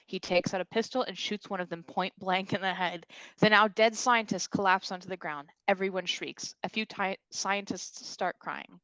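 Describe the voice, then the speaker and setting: A woman's voice: medium pitch, fairly even intonation, moderate pace, fairly bright tone, no room sound, rough recording. A woman reading out stage directions as scripted narration at a comedy table read.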